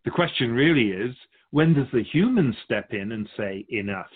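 A man playing a wise, wry otherworldly keeper of souls in a scripted dialogue, measured and teasing, fond of rhetorical questions. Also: mid-range voice, lively intonation, phone-quality sound, natural voice. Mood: instructive.

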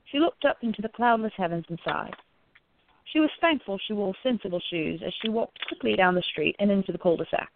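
A woman's voice: medium pitch, expressive, fairly quick, creaky, slight background noise. Woman narrator, phone-like sound. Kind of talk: scripted story narration for an audio play.

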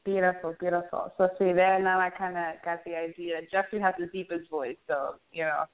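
A woman's voice: fairly low pitch, flat delivery, a little fast, clear, resonant tone. A woman hosting a casual, conversational interview, heard over a tinny phone-style line. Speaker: interviewer, show host.

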